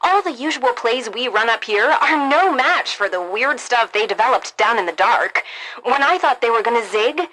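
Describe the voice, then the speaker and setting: An actress playing an expert street brawler in a cartoon, giving emphatic, impressed panel commentary. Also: female voice, on the high side, expressive, normal speed, pushed and bright, poor audio.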